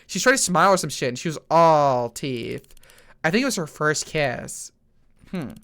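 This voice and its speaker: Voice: mid-range voice, lively intonation, normal speed, fairly bright tone. An actor playing a jaded, sardonic adult in a gritty detective story, speaking in a blunt, sarcastic, conversational way. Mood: sardonic, irritated.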